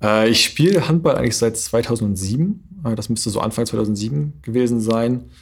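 A man's voice: medium pitch, a little fast, slightly rough. A young man in his twenties from Germany, a handball player answering interview questions thoughtfully, with frequent hesitations. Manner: thoughtful, many hesitation fillers.

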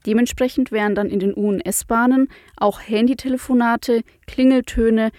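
A woman with a Franconian accent and a rolled R presents scripted news from a studio. Her voice sits a little high, and it is recorded close and dry with no room sound. She reads clearly and at a measured pace. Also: steady tone, darkish tone, no echo.

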